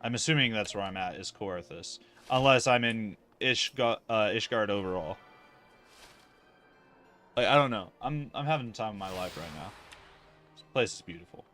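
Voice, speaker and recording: A man's voice: fairly low pitch, expressive, moderate pace, pauses often, pushed and bright, faint music behind. A man casually commentating a livestream of an online fantasy game, rambling and thinking aloud with casual swearing.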